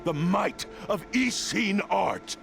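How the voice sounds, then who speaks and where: An actor playing a ruthless, battle-obsessed blade spirit in a video game, with a grandiose, menacing delivery. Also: male voice, sits high, takes it slowly, vocal fry, full character voice. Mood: cold, grandiose. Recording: game dialogue over loud music.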